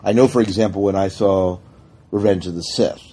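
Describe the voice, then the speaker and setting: Guy co-hosting a podcast, kinda deep mellow voice, just chatting casually. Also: fairly quick, slight background noise. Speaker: podcast co-host discussing sci-fi. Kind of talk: casual chat.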